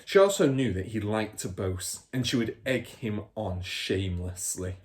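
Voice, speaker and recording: A man narrating a children's storybook aloud in the expressive style of a primary school teacher. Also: lowish voice, normal speed.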